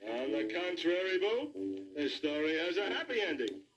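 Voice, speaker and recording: A man's voice: sits high, very animated, normal speed, dull audio. A man voicing a folksy, easygoing cartoon bear telling a jokey fairy tale for children. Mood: chatty. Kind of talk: animated comic storytelling for children.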